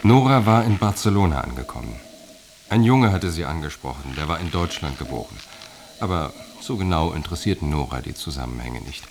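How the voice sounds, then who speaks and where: A man as a storyteller in a radio play, with a deep voice and lively melody. He reports things matter-of-factly over faint noise. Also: brisk. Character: matter-of-fact male storyteller. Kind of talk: past-tense literary radio drama narration.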